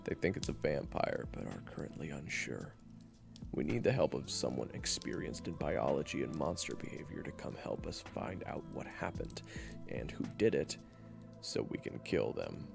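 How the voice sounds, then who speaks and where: A man playing a gruff, blunt, impatient monster hunter with a gravelly voice in a fantasy audio drama. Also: very deep voice, very animated, normal speed, over music, exaggerated voice. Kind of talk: fantasy audio roleplay acting.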